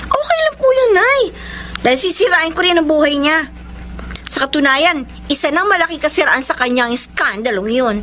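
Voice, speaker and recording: A woman's voice: high-pitched, very animated, moderate pace, fairly bright tone, slight background noise. An actress playing a scorned, vengeful young ex-girlfriend in a Filipino radio drama, speaking defiantly and emphatically.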